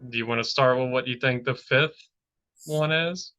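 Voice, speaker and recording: A man hosts a relaxed podcast chat, his voice bright and forward. He rambles loosely and leans on filler words as he talks. The audio sounds dull and lo-fi. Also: mid-range voice, moderate pace. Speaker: podcast host. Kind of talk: casual chat.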